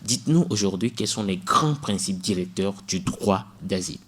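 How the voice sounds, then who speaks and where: Togolese radio host with a low voice. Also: male voice, expressive, moderate pace. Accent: Togolese French. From Togo. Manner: probing, challenges the guest with everyday examples. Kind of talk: radio talk show interview.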